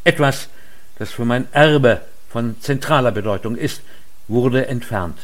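A man delivering a formal lecture in a measured, earnest way. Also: mid-range voice. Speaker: lecture speaker. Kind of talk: formal read speech.

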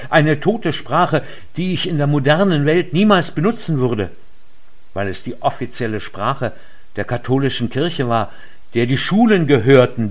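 A man delivering a formal lecture in a measured, earnest way. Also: medium pitch. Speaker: lecture speaker. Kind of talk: formal read speech.

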